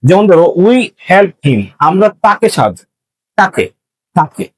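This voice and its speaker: A man's voice: sits high, sing-song, measured pace, breathy edge. A man teaching students in an explanatory, step-by-step manner, repeating key points as he goes. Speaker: English grammar teacher. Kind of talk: explanatory lesson addressed to students.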